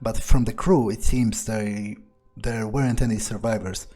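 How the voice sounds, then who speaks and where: Mellow man musing through a game. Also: on the low side, fairly even intonation, a little slow. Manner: musing, casual, hesitant. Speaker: gamer playing in character. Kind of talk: in-character game playthrough narration, thinking aloud.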